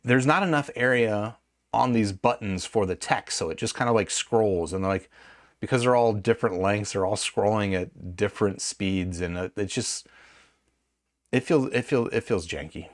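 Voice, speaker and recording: A man's voice: on the low side, no echo, clean studio sound. A man giving a casual hands-on product review, rambling and conversational as he thinks aloud. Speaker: gadget reviewer.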